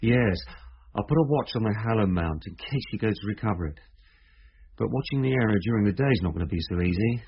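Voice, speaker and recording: An actor playing a confident, probing British police inspector in a radio drama, brisk and authoritative. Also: male voice, on the low side, lively intonation, slight background noise. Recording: dull, band-limited radio drama recording.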